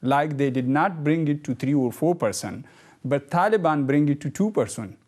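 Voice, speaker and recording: A man from Afghanistan, speaking as a guest in a debate and explaining his view in halting, repetitive English. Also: a little high, animated, a little mellow. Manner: halting, repeats words, earnest. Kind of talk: debate discussion.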